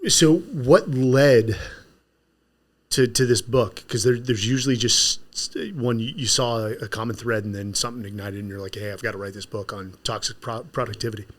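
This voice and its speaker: A man's voice: medium pitch, normal speed. Casual middle-aged male podcast host. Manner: casual, chatty questioning with restarts. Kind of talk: podcast interview. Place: small podcast studio. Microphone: studio mic with headphones.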